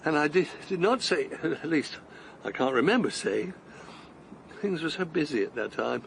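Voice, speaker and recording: A man's voice: fairly high pitch, animated, normal speed, gravelly, a bit bright, slight background noise. An actor playing an older man out on a long walk, breathless and wondering, chuckling to himself in a radio drama. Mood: fond.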